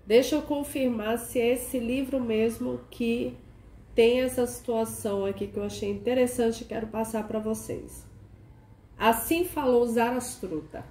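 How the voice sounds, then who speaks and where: A woman hosting a casual video chat. She sounds nasal and hoarse from a cold and keeps asking the viewer questions. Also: a little high, a little slow, warm tone. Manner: chatty, asks the viewer many questions. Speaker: archetype consultant and channel host. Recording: echoey room with faint noise.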